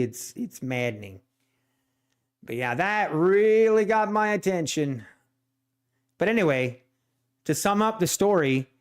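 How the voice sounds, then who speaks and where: A man's voice: fairly high pitch, clear, resonant tone. A man from Ohio with a Swiss German accent, chatting casually on a day trading live stream and ranting with plenty of restarts and repeats. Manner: rambling, repeats himself, exasperated ranting.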